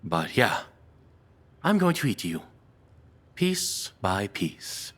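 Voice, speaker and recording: A low, breathy male voice for an obsessive, unhinged captor acted by a man in an audio roleplay. He confides softly and haltingly, tender toward his beloved yet calmly menacing. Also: exaggerated voice. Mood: apologetic, tender. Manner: soft, confessional, hesitant, calmly menacing. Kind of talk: intimate scripted audio roleplay monologue.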